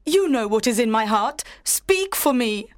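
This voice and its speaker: A woman's voice: fairly high pitch, expressive, unhurried, no echo. Actress as defiant mother, emotional, insistent, dry studio sound, storybook drama. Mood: desperate pleading. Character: defiant, desperate mother pleading to keep her child. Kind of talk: dramatized audiobook acting.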